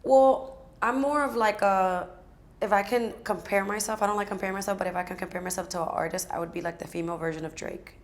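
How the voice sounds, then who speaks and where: A raspy-voiced woman in her twenties, chatting casually as a guest and filling her talk with "like". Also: medium pitch, fairly even intonation, fast talker. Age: 20s. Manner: chatty, many fillers like "like". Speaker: guest, aspiring singer and rapper. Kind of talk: casual sit-down chat.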